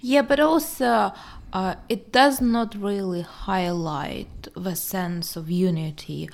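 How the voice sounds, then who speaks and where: A woman's voice: mid-range voice, takes it slowly, gravelly. A woman co-hosting a casual discussion podcast, talking through her opinions fluently with frequent "uh" fillers. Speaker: podcast co-host.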